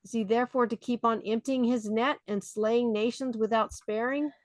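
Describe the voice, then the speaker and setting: A woman's voice: on the high side, steady tone, moderate pace, no room sound. A woman leading a study group, reading scripture aloud and explaining it conversationally. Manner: conversational, with fillers between readings.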